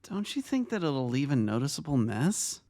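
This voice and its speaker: An actor playing a mature, gentle vice principal and younger brother in a dramatic story reading. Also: male voice, mid-range voice, sing-song, moderate pace, no room sound. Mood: questioning. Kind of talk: dramatic fan fiction reading.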